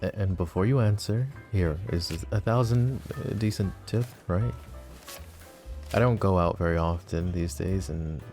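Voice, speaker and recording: Casting: a man to play a scheming, possessive yandere mafia boss for ASMR roleplay; dark, relaxed low voice, smooth and teasing. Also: a little slow, soft background music. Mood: polite charm. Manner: smooth, teasing, mock-polite. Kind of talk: intimate ASMR roleplay acting.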